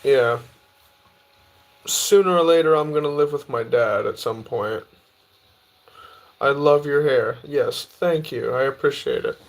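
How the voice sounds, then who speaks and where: A man in his early twenties casually chatting on a live stream, reading out and answering viewer questions in a relaxed, easygoing way. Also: medium pitch, measured pace, pauses often. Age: 20s. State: tired. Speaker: livestreamer reading chat.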